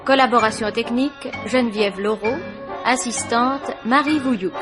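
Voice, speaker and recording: A woman's voice: a little high, normal speed, over music. A woman announcer reading scripted programme credits in a vintage radio broadcast.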